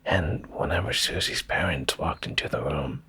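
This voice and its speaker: A man reading a bedtime story in ASMR style, hushed and deliberate with pauses, in a breathy, gravelly low whisper close to the microphone. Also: expressive.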